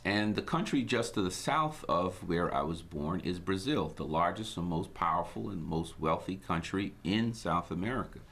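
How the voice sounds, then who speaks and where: Deep-voiced man from Guyana, preacherly. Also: animated, a bit bright. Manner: measured, preacherly cadence with scripture quotes. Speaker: host. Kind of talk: inspirational broadcast talk.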